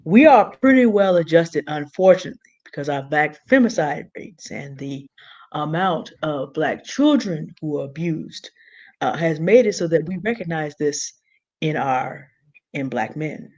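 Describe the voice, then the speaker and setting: A woman with a big, low contralto voice, talking through a point deliberately and earnestly as a panelist in an online discussion. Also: animated, a little mellow, muffled recording. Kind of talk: measured explanatory talk.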